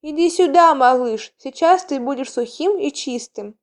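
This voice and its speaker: A woman's voice: medium pitch, moderate pace, gravelly, natural voice. A woman voicing a tender, grateful female cat in an audiobook story, with a gushing, emotional manner. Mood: delighted, tender. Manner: gushing, soft-hearted.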